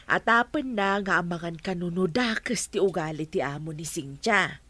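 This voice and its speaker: A woman's voice: on the low side, moderate pace, bright, forceful tone. A woman with an Ilocano accent playing a worried village mother who nags her husband in a radio drama. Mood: scolding.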